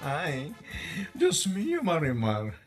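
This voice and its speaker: A man's voice: a little high, wildly swooping pitch, drawn-out words, over music, full character voice. Swooping, theatrical gossipy Ilocano friend acted by a man. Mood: dramatic teasing. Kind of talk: Ilocano comedy drama acting.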